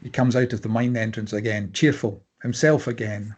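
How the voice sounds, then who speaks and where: A man with a slightly husky voice narrating stage directions from a screenplay at a table read. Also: lowish voice, steady tone, normal speed.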